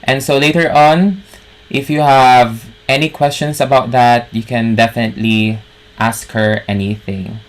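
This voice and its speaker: A man's voice: medium pitch, fairly even intonation, a little slow, poor audio. A man from the Philippines hosting an online event, formally introducing the guest speaker. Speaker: host.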